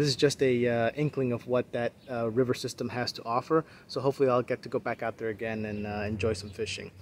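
A man's voice: medium pitch, slight background noise. Young man chatting on a fishing boat. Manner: excitable, laughing, many "uh" fillers. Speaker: fishing vlogger. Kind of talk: casual fishing commentary. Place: outdoors on a small boat on a river.

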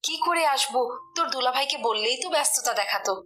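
A woman's voice: high voice, expressive, fast talker, a bit bright. A woman voicing an exasperated, scolding middle-aged mother in a cartoon. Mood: complaining. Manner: scolding, complaining.

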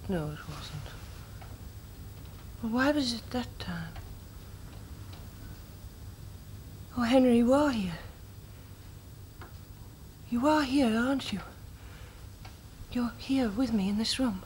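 A woman's voice: on the high side, animated, slow pace, pauses often, a little mellow, slight background noise. A woman playing a lonely, agitated widow in an intimate drama, hesitant and questioning, often repeating herself. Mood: uneasy, pleading. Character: lonely, agitated widow talking to her dead husband. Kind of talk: intimate dramatic monologue acting.

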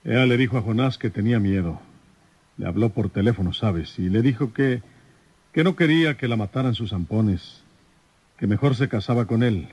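An actor playing a plain-spoken, folksy rural detective in an old radio serial. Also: male voice, on the low side, a little mellow, slightly rough, no echo. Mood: earnest. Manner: folksy, halting.

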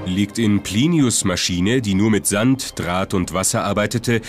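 Low-voiced documentary narrator, man. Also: measured pace. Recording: music behind the voice. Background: music.

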